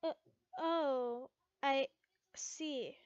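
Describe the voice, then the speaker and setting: A woman's voice: high-pitched, drawn-out words, no echo, highs cut off. A woman voicing a flustered, stubborn teenage heroine in a story, hesitant and defensive. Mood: hurt.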